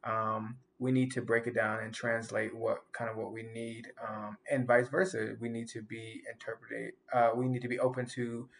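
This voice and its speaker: A man's voice: mid-range voice, flat delivery, moderate pace, gravelly, few pauses, slight background noise, poor audio. A man co-hosting a casual podcast chat, reflective and rambling, often restarting his sentences.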